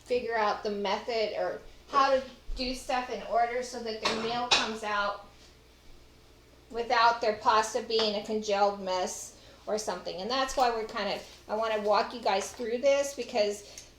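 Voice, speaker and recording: A woman's voice: a little high, fairly even intonation, unhurried, a bit bright, slight background noise. A middle-aged woman with a Texas accent, chatting to viewers as she demonstrates a recipe in her home kitchen. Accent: Texan. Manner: chatty, talks to viewers while working. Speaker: cooking show host. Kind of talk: casual cooking demonstration. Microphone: far camera. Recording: echoey kitchen with clattering dishes.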